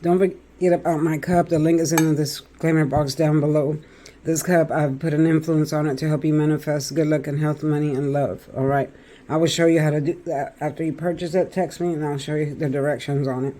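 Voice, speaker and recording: A man with a raspy voice doing a chatty tarot reading, talking straight to his viewers. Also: a little high, fairly even intonation, brisk, warm tone. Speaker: tarot reader.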